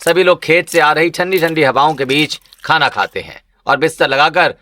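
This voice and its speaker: Bright, forceful male story narrator. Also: a little high, normal speed. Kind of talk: scripted Hindi cartoon story narration.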